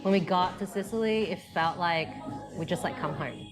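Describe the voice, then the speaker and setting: A woman's voice: on the low side, normal speed, music in the background. A woman with an Australian accent chatting casually as an interview guest. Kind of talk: casual chat.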